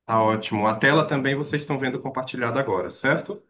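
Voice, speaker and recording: A man's voice: medium pitch, fast talker, pushed and bright. A man from Maranhão with a Maranhão Brazilian Portuguese accent, giving a polite online lecture over a video call, with frequent hesitations.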